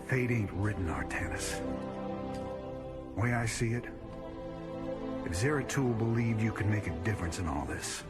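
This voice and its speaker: An actor playing a weary, gruff human rebel commander in a video game cutscene, with a plain-spoken drawl. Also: male voice, on the low side, animated, moderate pace, pauses often, lightly acted. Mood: grim, weary. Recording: loud music behind the voice.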